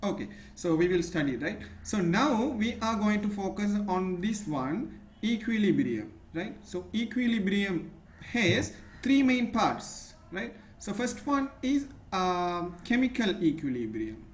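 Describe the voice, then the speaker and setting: A man's voice: sits high, big pitch swings, measured pace. A man with a Sri Lankan accent tutoring chemistry one-on-one, patient and often checking in with "right?". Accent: Sri Lankan English. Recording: echoey room, faint noise.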